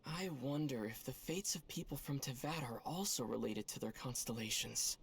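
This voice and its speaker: An actor playing a calm, thoughtful young male traveler in a video game, soft-voiced and measured. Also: mid-range voice, gravelly, breathy edge. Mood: wistful.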